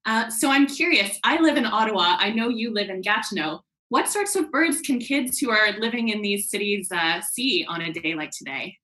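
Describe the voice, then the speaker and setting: A woman's voice: mid-range voice, expressive, moderate pace, hardly any pauses, pushed and bright. A woman, hosting a casual interview for children on a video call. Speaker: host, interviewer. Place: bedroom at home, indoors. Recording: roomy, echoey video call.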